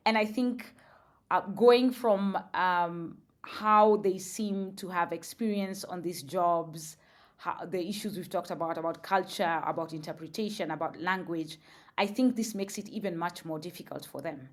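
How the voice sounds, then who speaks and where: A woman's voice: fairly low pitch, a little slow. A woman, a guest on a podcast interview, explaining thoughtfully with examples and frequent fillers. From Kenya.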